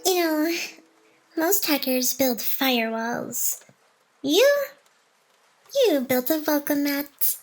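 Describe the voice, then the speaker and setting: A woman playing a high-voiced, teasing AI hacker girl. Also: expressive, slow, pauses often, low-quality recording. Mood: dry, smug teasing. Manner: teasing, mock-aloof, sighing, addressing the listener directly. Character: playful, teasing female AI hacker girl, secretly smitten and protective. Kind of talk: ASMR roleplay, intimate one-sided scripted drama.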